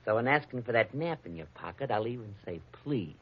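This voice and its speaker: A man's voice: mid-range voice, expressive, a little fast, husky. An actor playing a smug little gunman who threatens with taunting, mock politeness, in 1940s radio crime drama style. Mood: smug menace. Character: menacing little gunman, smugly polite henchman. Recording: dull, band-limited old radio recording.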